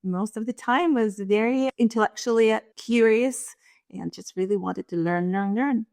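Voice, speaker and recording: A woman's voice: on the high side, normal speed, creaky, a little mellow, dry recording. Woman, forties, from Russia, interview guest, thoughtful, dry room. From Russia and Kazakhstan. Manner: thoughtful, laughs lightly between points. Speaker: guest, leadership strategist. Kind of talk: interview conversation.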